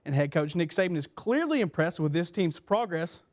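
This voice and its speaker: A man's voice: fairly high pitch, big pitch swings, normal speed, darkish tone. A young man reading a scripted sports newscast as a TV anchor. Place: small TV news studio. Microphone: lapel mic.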